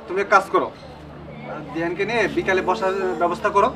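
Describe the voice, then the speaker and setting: An actor playing an arrogant, scolding officer who looks down on villagers, in a Bangladeshi accent. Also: male voice, high-pitched, fairly even intonation, fast talker, a crowd in the background, natural voice. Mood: cold. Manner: haughty, scolding. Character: arrogant city officer scorning his village friend. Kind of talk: Bangla short-film drama acting.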